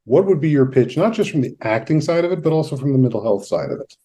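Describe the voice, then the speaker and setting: A man hosting a conversational interview, measured and attentive, offering brief affirmations between his questions. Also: a little high, expressive, mellow. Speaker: podcast host, therapist.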